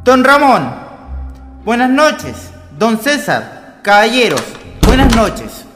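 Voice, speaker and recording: Guy doing an eager waiter in a radio play, really high singsong voice, echoey, loud music behind. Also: very animated, takes it slowly, exaggerated voice. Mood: eager, deferential. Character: eager, obliging restaurant waiter. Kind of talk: historical radio drama acting.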